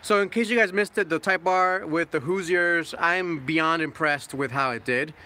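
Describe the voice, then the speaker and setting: A man's voice: fairly high pitch, moderate pace, bright, forceful tone, a little hiss or hum. A man in his thirties hosting a casual car livestream, chatting loosely and laughing, a bit loopy from not eating all day. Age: 30s. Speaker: car channel host.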